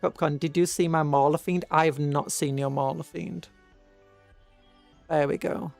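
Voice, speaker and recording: A British livestreamer talks casually to viewers in a medium-pitched voice. The delivery wanders, with hesitations and restarted sentences. Soft music plays behind the voice. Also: steady tone, unhurried, gravelly. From Britain. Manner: rambling, hesitant, trailing off and restarting. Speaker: livestream host. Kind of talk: casual rambling livestream chat.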